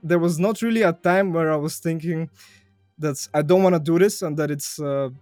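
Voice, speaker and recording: Young man, English, easygoing podcast guest, highish voice, dry close sound. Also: normal speed, darkish tone, no echo, faint music behind. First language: Bulgarian. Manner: chatty, laughs easily, repeats phrases. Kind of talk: casual podcast interview.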